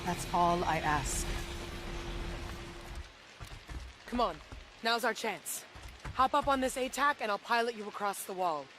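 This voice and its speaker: An actress playing a guarded, intense rebel operative in a video game, speaking in an earnest, terse, insistent way. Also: female voice, medium pitch, expressive, moderate pace, slight background noise, close to an everyday voice. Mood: resolute. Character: determined half-Vektan woman rebel operative, guarded and intense. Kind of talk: dramatic video game acting. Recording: loud music behind the voice.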